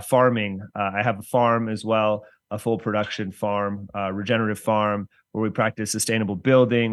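A man from the San Francisco Bay Area talking casually as a podcast guest, in halting, rambling explanations. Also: medium pitch, dry recording, studio quality. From San Francisco Bay Area, United States. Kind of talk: casual interview talk.

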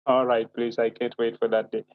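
A man's voice: mid-range voice, monotone, moderate pace, no room sound, phone-quality sound. A man in his thirties with a Ugandan accent, a native Acholi speaker, answering as an interview guest in a polite, thoughtful way. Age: 30s. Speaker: guest author.

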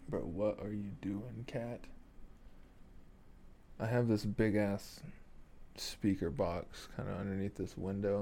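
A young man with a deep voice hosting a casual solo podcast, laid-back and swearing often, chuckling at his own stories. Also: gravelly, darkish tone. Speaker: podcast host. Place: small home room with foam on the walls. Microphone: studio mic at a desk.

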